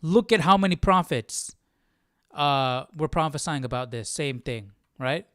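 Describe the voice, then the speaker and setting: A man hosting a casual Bible study livestream, haltingly thinking aloud as he comments. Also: on the high side, lively intonation, a bit bright, smooth, no room sound, studio quality.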